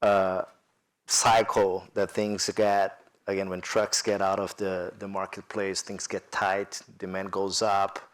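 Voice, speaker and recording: A man with a Brazilian accent talks as a panelist at a business event, his voice sitting fairly low. He is lively and animated, often pausing on fillers and restarting his sentences as he works through his points. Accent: Brazilian Portuguese. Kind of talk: panel discussion.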